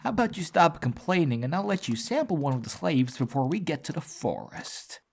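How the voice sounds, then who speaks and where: A man voicing crude, bullying male pony slavers with a rough, sneering, foul-mouthed delivery over music. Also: a little high, sing-song, brisk, pitched above the performer's own voice, heavily acted. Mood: grumbling.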